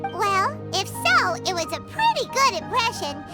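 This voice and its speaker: A tiny fairy sidekick from a video game with an extremely high, childlike squeak. Her delivery swoops wildly, chirpy and nosy, with exclamatory turns. Music plays clearly behind her. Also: unhurried, full character voice. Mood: chatty, curious. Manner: speaks of herself in third person, exclamatory and expressive. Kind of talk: video game character acting.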